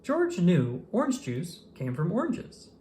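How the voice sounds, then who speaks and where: A person reading a children's storybook aloud in an expressive, animated way with dramatic emphasis. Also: mid-range voice, slow. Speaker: children's book reader.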